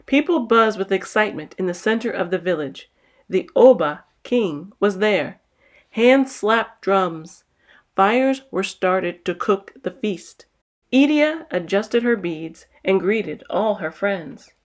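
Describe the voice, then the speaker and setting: A woman's voice: medium pitch, animated, slow pace. A woman narrating a children's picture book as a storytime host, reading expressively.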